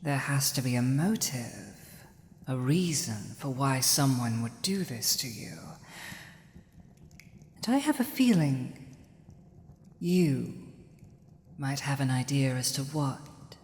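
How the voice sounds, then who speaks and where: A woman playing a villainous, protective, domineering dragon ruler in an ASMR roleplay drama, commanding and deliberate. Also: rumbling low, lively intonation, darkish tone, heavily acted. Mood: serious, probing. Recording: echoey hall, long reverb.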